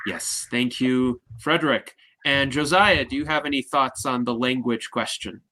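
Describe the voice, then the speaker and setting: A man moderating a panel over a video call, his tone bright and forward in the mix and set at a middle pitch. He speaks in a measured, formal way and passes the floor to each guest in order. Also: slight background noise, poor audio. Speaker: host and moderator. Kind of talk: online panel discussion.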